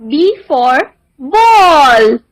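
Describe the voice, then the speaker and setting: A woman narrating a children's alphabet lesson in a deliberate sing-song manner, enunciating each word. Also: sits high, very animated, rough recording.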